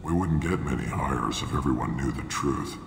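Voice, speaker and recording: An actor playing a blunt mercenary logistics officer who briefs new hires in a matter-of-fact way. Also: male voice, very deep voice, normal speed, creaky, slight background noise. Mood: briefing. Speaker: mercenary recruiter. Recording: echoey game mix with music.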